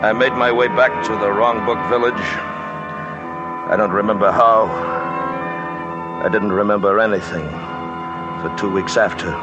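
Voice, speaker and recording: An actor playing a grim, shaken mountaineer telling of a hunt in an intense, halting old radio drama style. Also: male voice, fairly low pitch, steady tone, highs cut off, close to an everyday voice. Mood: bleak, shaken. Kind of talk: 1950s radio drama first-person narration. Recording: vintage radio recording, loud orchestral music behind.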